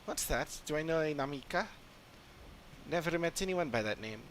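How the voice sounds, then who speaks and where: A man's voice: on the high side, lively intonation, normal speed, slight background noise, voice pushed up, lightly acted. A man voicing a polite, untroubled town resident in a video game, mild and matter-of-fact. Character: polite, puzzled townsfolk resident, untroubled and helpful. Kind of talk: read-aloud video game dialogue.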